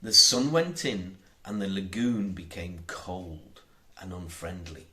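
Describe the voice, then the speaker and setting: A man narrating a children's storybook in a measured, deliberate and expressive way. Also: low-pitched. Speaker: audiobook narrator.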